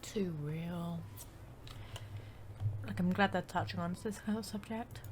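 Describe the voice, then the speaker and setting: A woman's voice: on the low side, steady tone, measured pace, slight background noise. A woman streaming a game with casual, slangy let's-play commentary, game music behind her. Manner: slangy, informal.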